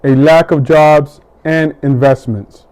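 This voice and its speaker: Middle-aged guy making a campaign speech, kinda high voice, slow and deliberate with long pauses. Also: fairly even intonation, a little mellow. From United States. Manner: measured, deliberate, with long pauses between list items. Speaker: political hopeful.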